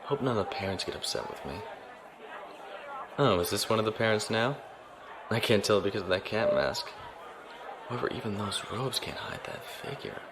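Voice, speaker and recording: An actor playing a self-assured naval officer, giving a dry, cool inner monologue. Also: male voice, on the low side, very animated, normal speed, vocal fry, long pauses, crowd noise, background noise. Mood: amused. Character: cool, cocky male naval officer in disguise. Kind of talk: audio drama acting.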